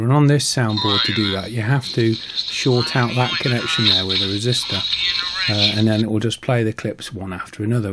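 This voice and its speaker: Low-voiced man narrating a hobby build. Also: fairly bright tone, slight background noise. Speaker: host of a prop-making channel.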